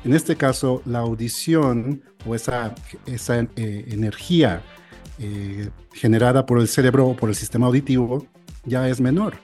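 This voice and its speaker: American man with a soft, dark voice. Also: medium pitch, soft background music. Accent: American English. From United States. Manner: halting, frequent restarts and fillers. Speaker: audiologist guest. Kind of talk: interview, explaining.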